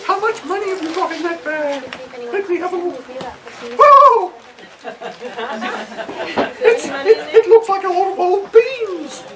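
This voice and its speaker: A man voicing a shrill, melodramatic, scolding mother in a children's puppet show. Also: lively intonation, measured pace, long pauses. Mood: suspicious. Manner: shrill, exclaiming, indignant. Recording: far off outdoors, noisy children's crowd.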